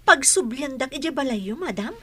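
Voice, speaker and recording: Woman as a high-voiced humble young housemaid. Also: expressive, normal speed, sharp tone, breathy edge, heavily acted. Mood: forgiving. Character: humble, patient, forgiving young female housemaid. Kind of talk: melodramatic Filipino radio-style drama acting.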